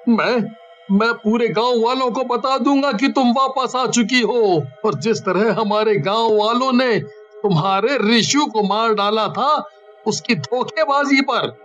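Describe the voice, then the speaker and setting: A man's voice: very high-pitched, a bit bright. An actor playing a plain-spoken village man in a horror story. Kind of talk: animated horror story dialogue.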